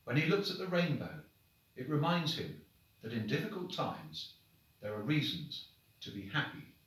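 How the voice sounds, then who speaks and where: A man, a headmaster, reading short stories aloud to children in an expressive, deliberate, dramatic storybook manner. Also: medium pitch. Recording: very echoey room, distant microphone.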